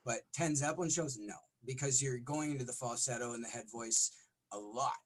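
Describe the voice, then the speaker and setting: A middle-aged man, a rock vocalist, talking in a casual interview with a smoky, raspy voice and lots of restarts. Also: mid-range voice, brisk, low-quality recording. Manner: rambling, frequent restarts and fillers.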